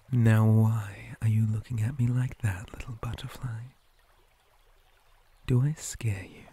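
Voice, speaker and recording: A man voicing a possessive, menacing spider monster captor in an ASMR roleplay, mostly in a breathy whisper with deliberate, theatrical menace. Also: lowish voice, mellow, exaggerated voice. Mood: menacing, intimate.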